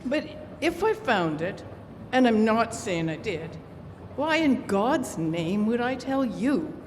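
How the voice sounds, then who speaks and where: A woman's voice: a little high, very animated, takes it slowly. A woman playing a tough, suspicious smuggler with blunt, earthy speech in an old-time radio drama, recorded in a hall. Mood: wary, bitter. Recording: echoey live hall, faint noise.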